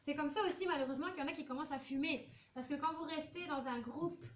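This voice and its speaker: A woman's voice: sits high, quick pace. A woman teaching a school class, talking to pupils in an informal, chatty way and acting out little examples. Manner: informal, chatty, role-plays examples of what teenagers say. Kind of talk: classroom lesson, addressing pupils. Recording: noisy echoey classroom, distant microphone.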